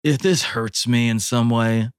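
A man's voice: mid-range voice, fairly even intonation, a little slow, clean tone, dry recording, clean studio sound. A man playing in a tabletop game, improvising casual role-play chat.

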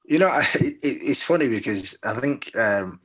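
A man's voice: mid-range voice, animated, moderate pace, a bit bright, breathy edge. A man from London with a British accent, speaking as a poet in a reflective interview, thoughtful and hesitant, often repeating words as he searches for the right one. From London, England.

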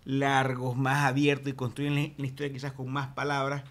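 A Venezuelan man, a songwriter guest, talking casually and haltingly, with many restarts. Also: medium pitch, completely flat delivery, fairly bright tone. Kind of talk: casual interview chat.

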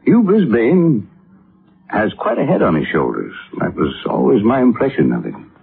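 A reflective American physician in an old radio drama. His low, husky voice swings widely in pitch as he weighs his words. The recording is muffled and narrow, like vintage radio. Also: wildly swooping pitch, full character voice. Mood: considering. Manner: deliberate, reflective, hesitant asides. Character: thoughtful, educated male physician, measured and reflective. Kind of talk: 1950s radio drama acting.

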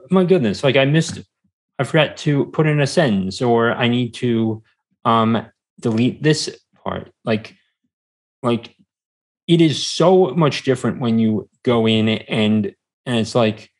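A man chatting casually as a podcast guest, hesitant and rambling, often repeating himself, on a podcast microphone. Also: mid-range voice. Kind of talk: casual interview chat. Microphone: standard podcast microphone.

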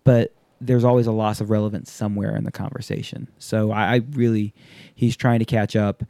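A man's voice: on the low side, flat delivery, a little fast, creaky, warm tone, no room sound. A man in his thirties hosting a podcast, telling stories in a loose, profane, casual way and acting out quotes. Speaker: podcast host. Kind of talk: casual chat.

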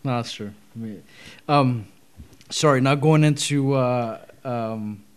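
Hesitant host with filler-heavy talk. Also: male voice, mid-range voice, slow, clean tone. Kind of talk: casual interview chat.

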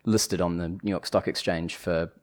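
A man in his thirties with a New Zealand accent, chatting as a podcast guest, often restarting and pausing with fillers. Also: deep voice, fairly even intonation. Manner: hesitant, many restarts and fillers. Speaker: podcast guest, chief commercial officer. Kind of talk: casual podcast conversation.

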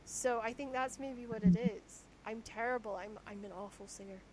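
A high, squeaky-voiced woman hosts a casual podcast interview. She is self-deprecating and laughs easily as she talks. A faint hiss sits under her voice. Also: moderate pace, a little mellow, poor audio. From Shetland. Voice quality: naturally squeaky voice. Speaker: podcast host.

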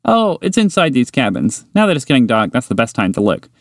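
A man voicing a small, excitable, chatty mouse in a bedtime story, eager and bubbly. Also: medium pitch, dry recording, clean studio sound, pitched above the performer's own voice. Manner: eager, bubbly, quick to laugh. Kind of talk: storybook character voice.

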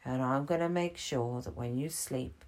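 A woman voicing a kindly, gentle male story character who speaks in a soft, soothing way. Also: rumbling low, moderate pace.

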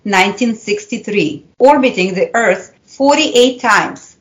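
A woman in her fifties, a university lecturer whose first language is Russian, speaking with a Russian accent and telling a prepared personal story in a measured, earnest way. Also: a little high, rough recording. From Soviet Union.

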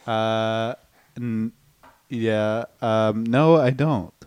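A man on an improvised comedy podcast, hesitant and stammering with a deadpan delivery. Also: lowish voice, extremely slow, clear, resonant tone, dry recording.

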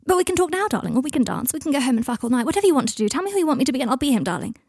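A woman's voice: high voice, very animated, rapid-fire, darkish tone, breathy edge, no room sound, studio quality, pitched above the performer's own voice, full character voice. A woman voicing a brittle, giddy young man on drugs who gushes theatrically in an audiobook story. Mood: manic, flippant. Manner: theatrical, gushing, calls people darling. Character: giddy, brittle, high-pitched drugged young man, falsely gushing.

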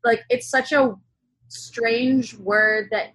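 A young adult woman being interviewed, speaking casually and analytically, often halting and circling back to rephrase. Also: fairly high pitch, steady tone, a bit bright, husky, dry recording, low-quality recording. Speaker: interview guest, zine co-founder.

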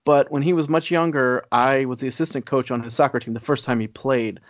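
Male co-host, 40s, dry and reflective, deadpan humor, close and slightly muffled. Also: medium pitch, no echo. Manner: wry, measured, reflective. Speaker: podcast co-host. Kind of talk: casual chat. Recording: dry, slightly dull lo-fi sound.

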